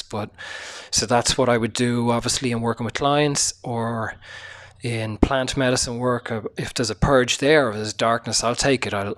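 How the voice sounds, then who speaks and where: A sick man hosting a casual solo podcast, speaking quietly with a hoarse, tired voice, swearing often and using many fillers. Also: mid-range voice. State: sick, low energy, voice hoarse. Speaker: podcast host.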